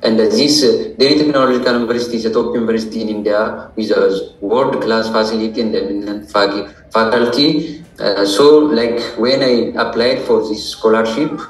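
A man's voice: on the low side, fairly even intonation, moderate pace, hardly any pauses. A man in his twenties with an Ethiopian accent, giving careful, formal answers as an interview guest. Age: 20s. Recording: roomy echo, distant microphone.

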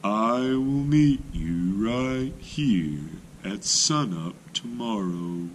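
A man voicing a clever, polite little tortoise in a storybook, with a deliberate, drawn-out delivery. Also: fairly low pitch, lively intonation, slight background noise. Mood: sly, polite.

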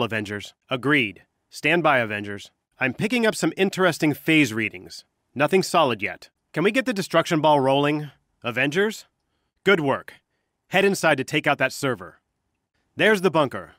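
An actor playing an earnest, wry scientist who leads resistance fighters, briefing in a conversational, clipped way in a video game. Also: male voice, on the high side, a bit bright, no room sound, clean studio sound. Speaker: mission dispatcher giving orders and updates.

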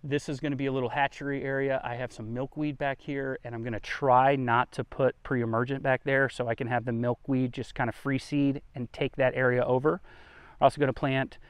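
Guy doing a casual garden vlog, smooth clear voice, chatty and folksy, dry sound with no echo. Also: medium pitch, flat delivery, fairly quick. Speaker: gardening vlogger, host. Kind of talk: casual garden tour, explaining as he walks. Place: outdoors in a home garden.

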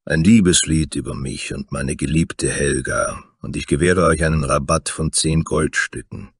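A man voicing a gruff, blunt male warrior or smith in a fantasy story, with a rough voice. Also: extremely low pitch, fairly even intonation, moderate pace, dry recording, clean studio sound, voice pushed down, exaggerated voice. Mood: businesslike. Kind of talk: fantasy audio story character.

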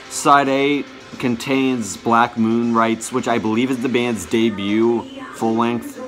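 A young man giving a casual show-and-tell to camera, halting and often correcting himself. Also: mid-range voice, a bit bright. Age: young adult. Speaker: vlogger showing a music collection. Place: small bedroom, indoors.

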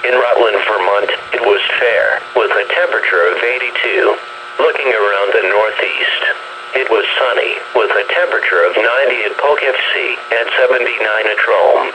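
A man's voice: fairly low pitch, moderate pace. A synthetic man's voice reads a scripted weather forecast as an automated announcer over a weather radio. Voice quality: synthetic text-to-speech. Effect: weather radio broadcast. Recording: noisy radio speaker playback.

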